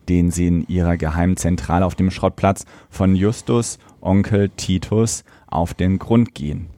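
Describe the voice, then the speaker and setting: A man's voice: low voice, warm tone, dry recording, clean studio sound. A man co-hosting a podcast, in casual review talk and reading aloud. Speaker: podcast co-host.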